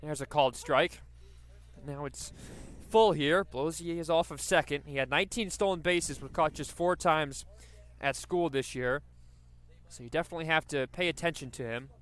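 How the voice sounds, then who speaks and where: A man's voice: a little high, moderate pace, fairly bright tone. A man doing live radio play-by-play commentary of a baseball game.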